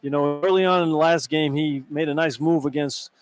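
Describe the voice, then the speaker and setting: A man's voice: fairly high pitch, steady tone, brisk. A man working as a radio color analyst, giving casual basketball commentary.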